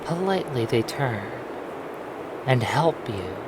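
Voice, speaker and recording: A narrator softly telling a sleep hypnosis roleplay story in a breathy voice, deliberately, with long pauses between phrases. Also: medium pitch, sing-song, noisy background. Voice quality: breathy, soft.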